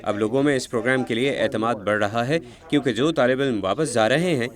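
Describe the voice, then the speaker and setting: A man giving a translated interview voice-over in a steady, explanatory manner. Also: mid-range voice, fast.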